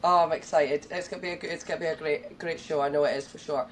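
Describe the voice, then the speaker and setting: A woman's voice: deep voice, fairly even intonation, fast, slight background noise. A woman hosting a casual podcast, bubbly and enthusiastic, casually swearing as she chats. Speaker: podcast host.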